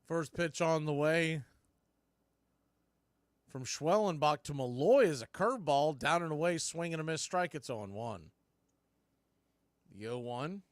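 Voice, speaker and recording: A man's voice: fairly high pitch, lively intonation, unhurried, long pauses, no room sound, clean studio sound. A man announcing baseball play-by-play in clipped, folksy phrases. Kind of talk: live play-by-play commentary.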